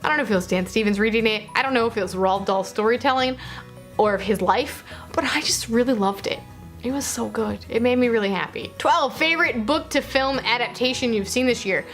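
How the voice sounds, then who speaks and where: High-voiced woman chatting casually about herself. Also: brisk, fairly bright tone, faint music behind. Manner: informal, effusive, self-deprecating. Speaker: book vlogger. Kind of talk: casual chat to camera.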